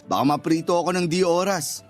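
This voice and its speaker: Shy, oblivious young man, male actor, radio drama customer, high-pitched and plain-spoken, faint music bed. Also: a little fast, close to an everyday voice. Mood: innocent, puzzled. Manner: innocent, puzzled, plain-spoken. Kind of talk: Tagalog radio drama acting.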